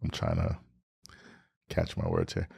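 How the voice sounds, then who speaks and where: A man with a deep voice co-hosting a podcast, candidly retelling a story and acting out his own past lines. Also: completely flat delivery, a little slow, creaky, darkish tone, slightly rough. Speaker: podcast co-host. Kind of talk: candid storytelling in casual chat.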